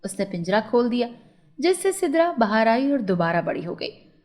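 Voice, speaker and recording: A woman tells a scripted story for children. Her voice is somewhat high, and she works as the narrator of a moral tale. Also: big pitch swings, normal speed, darkish tone.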